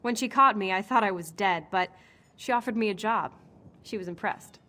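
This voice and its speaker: A woman's voice: mid-range voice, moderate pace, sharp tone, close to an everyday voice. Woman acting a young female pirate, brash, adventurous, eager, mid-range voice, game dialogue. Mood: eager, proud. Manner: eager, boastful. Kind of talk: video game character dialogue.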